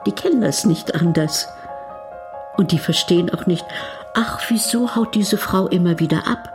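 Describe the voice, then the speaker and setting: A woman's voice: on the low side, moderate pace, over music, natural voice. A woman playing a candid older retired wife in a radio documentary play, plain-spoken and conversational. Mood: wry, resigned. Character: candid older retired wife, a grandmother living between cultures.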